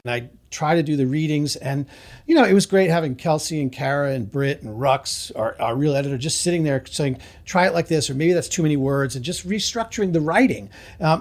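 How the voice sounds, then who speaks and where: A man is a guest on a podcast and talks casually about making his show. He sounds relaxed and reflective, and he laughs easily. His voice sits somewhat high for a man. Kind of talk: casual interview chat.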